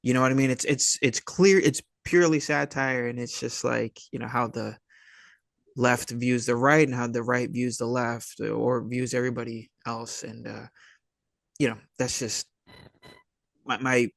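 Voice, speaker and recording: A man giving casual interview answers as a guest, rambling and halting in an easygoing, dude-like way. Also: mid-range voice, steady tone, vocal fry, a bit bright, no echo, clean studio sound. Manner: rambling, halting, laid-back dude talk. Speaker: interview guest, indie filmmaker and writer.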